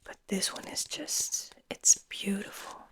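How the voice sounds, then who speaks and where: A woman whispering breathily in a slow, soothing guided ASMR style, lingering on her words. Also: bright, forceful tone. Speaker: ASMR host.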